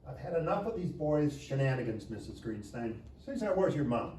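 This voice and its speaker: A man's voice: medium pitch, very animated, brisk, mellow, close to an everyday voice. Man playing a stern, fed-up school principal. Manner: authoritarian, exasperated. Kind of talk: live comedy screenplay table read. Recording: echoey room, distant mic, faint noise.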